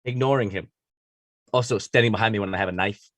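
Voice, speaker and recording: An actor playing a blunt, sardonic sandwich maker in a sitcom table read, dry and deadpan. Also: male voice, lowish voice, quick pace, no room sound, lightly acted. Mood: deadpan, irritated.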